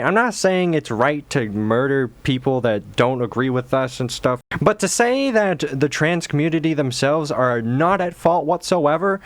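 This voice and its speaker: Guy hosting a reaction vid, sarcastic and mocking, kinda high voice, dry sound. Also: no room sound. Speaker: reaction video host. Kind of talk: casual reaction commentary.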